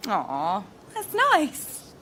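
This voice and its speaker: A woman's voice: mid-range voice, wildly swooping pitch, drawn-out words, sharp tone, slight background noise, exaggerated voice. An actress playing a stubborn, teasing girlfriend with a matter-of-fact, drily unimpressed manner in radio comedy. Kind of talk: radio sketch comedy dialogue.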